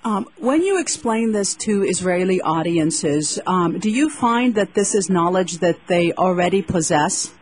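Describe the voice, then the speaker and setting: A woman's voice: fairly low pitch, animated, measured pace, few pauses, darkish tone. A woman co-hosting a radio show, asking a guest questions in a polite, formal way. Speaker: radio co-host.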